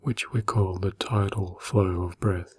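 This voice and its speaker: A man narrating a guided meditation in a gentle, soothing, slow manner with long pauses and a breathy, husky voice. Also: deep voice, steady tone.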